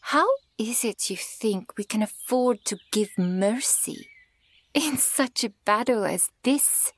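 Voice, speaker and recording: A woman voicing a centuries-old elven archer, stern and bitter toward humans, in a breathy, measured, dramatic ASMR roleplay delivery that often drops toward a whisper. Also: a little high. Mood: indignant. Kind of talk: ASMR fantasy roleplay audio acting.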